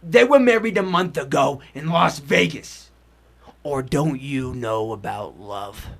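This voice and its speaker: An actor playing a tough, sarcastic, needling police detective with a menacing edge in a 1940s radio drama. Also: male voice, medium pitch, lively intonation, unhurried, creaky, a bit bright, heavily acted. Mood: skeptical, needling. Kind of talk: 1940s radio noir acting.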